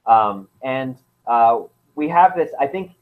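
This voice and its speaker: A man's voice: mid-range voice, animated, takes it slowly. Man on a tinny phone line. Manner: hesitant, many fillers and restarts. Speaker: anthropologist guest. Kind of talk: casual interview explanation.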